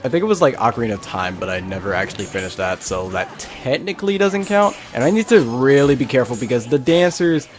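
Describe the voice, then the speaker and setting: A man's voice: mid-range voice, big pitch swings, fast talker, hardly any pauses. A man doing casual Let's Play commentary, rambling and thinking aloud as he plays. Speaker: gaming video host. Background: faint game music.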